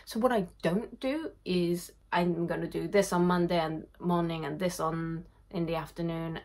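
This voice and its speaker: A woman in her thirties, born in Japan, answering viewer questions casually to camera in her home art studio, reading each question aloud before a candid reply. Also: on the low side. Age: 30s. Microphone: camera in front at desk distance.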